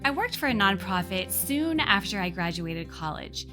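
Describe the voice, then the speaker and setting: A woman's voice: mid-range voice, big pitch swings, moderate pace. Female podcast host, mid-range voice, scripted intros and interview chat, guitar music underneath. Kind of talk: warm-up scripted intro, then conversational interview.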